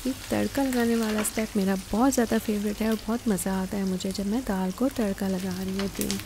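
A woman's voice: mid-range voice, fairly even intonation, fairly quick. A woman hosting a cooking show, narrating a recipe step by step and instructing the viewer directly. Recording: clear sizzling and stirring kitchen noise. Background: frying, sizzling and stirring.

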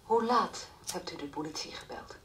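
An actress playing a calm, methodical police interrogator in a radio play, asking measured, probing questions. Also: female voice, on the low side, whispered, close to an everyday voice. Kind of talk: Dutch radio play acting, naturalistic. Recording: old radio play recording, faint hiss.

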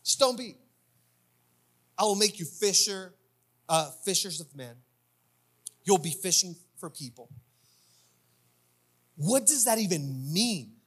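A man's voice: high voice, animated, slow pace, pauses often, husky. A man in his thirties preaching a sermon in an informal, conversational way, repeating phrases for emphasis, on a handheld mic. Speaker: pastor preaching at a church service. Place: church stage indoors. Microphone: handheld microphone.

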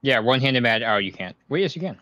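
A man in his twenties bantering on a stream, excitable and prone to repeating himself. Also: medium pitch, fast, lo-fi sound. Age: 20s. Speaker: co-host. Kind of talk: casual banter.

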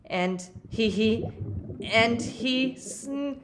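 A woman reading a children's picture book aloud expressively, with dramatic pauses and emphasis for young listeners. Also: a little high, background noise. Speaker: storybook reader.

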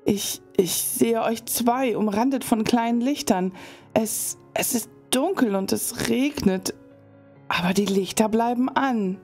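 A woman's voice: fairly high pitch, a little mellow, soft background music. Woman playing a mysterious fortune teller. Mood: trance. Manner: slow, halting visionary trance speech. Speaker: medium. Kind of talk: German fan audio drama.

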